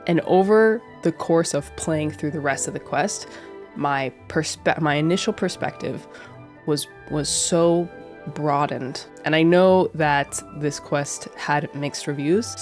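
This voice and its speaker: Low-voiced woman with a head cold. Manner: earnest, rambling, many restarts and fillers. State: head cold, a bit nasal. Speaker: streamer and video creator. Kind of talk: casual reflective commentary to camera. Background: faint background music.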